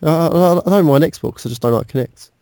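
A man's voice: fairly high pitch, fairly quick, vocal fry, warm tone, no echo, studio quality. A man co-hosting a podcast, bantering casually and teasingly, often repeating words as he cuts in. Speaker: podcast co-host.

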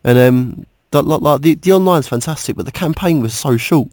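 A man's voice: fairly high pitch, lively intonation, fairly quick, a little mellow, no room sound, clean studio sound. A man co-hosting a podcast with casual, jokey banter, often repeating words in stammering bursts. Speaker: podcast co-host.

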